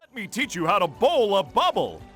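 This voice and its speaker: A man's voice: sits high, huge pitch swings, moderate pace, fairly bright tone, soft background music, full character voice. A man playing a friendly cartoon guide who gives tutorial instructions in a video game. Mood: instructive.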